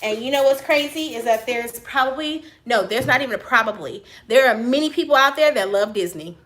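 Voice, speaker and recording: A woman with a notably high, bright voice hosts a live stream from her print shop office. She talks loudly and animatedly and breaks into big laughs between her answers to viewers. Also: brisk, poor audio. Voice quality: high voice she compares to a cartoon mouse. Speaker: small business owner and channel host.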